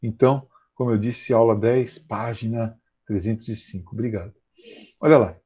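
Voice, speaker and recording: A Brazilian man teaching a history class online over a video call, explaining with many fillers and slowly repeating key terms. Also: lowish voice, steady tone, warm tone, slightly rough. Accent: Brazilian Portuguese. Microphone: computer mic on a video call. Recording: muffled, slightly dull video call audio.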